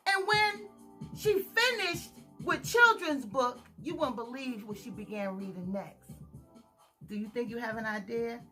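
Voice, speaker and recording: A woman's voice: a little high, expressive, measured pace, sharp tone, faint music behind. A woman hosting a children's storytime, reading aloud in an exaggerated, singsong way and putting questions to her young listeners. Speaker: children's storytime host, author.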